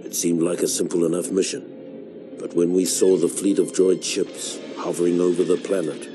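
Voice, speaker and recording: A man plays a battle-hardened soldier recounting campaigns for a video game. His voice is low, and he speaks with measured pride and a dry, grim wit. Prominent music runs behind the narration. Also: lightly acted. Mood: dry, sardonic. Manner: measured, deliberate, wry storytelling.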